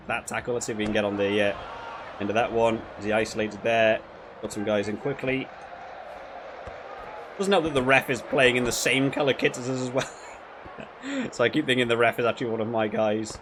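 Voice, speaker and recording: A man giving casual, rambling commentary while playing a video game, often halting and repeating his words. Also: fairly low pitch, noisy background. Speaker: video game reviewer and player.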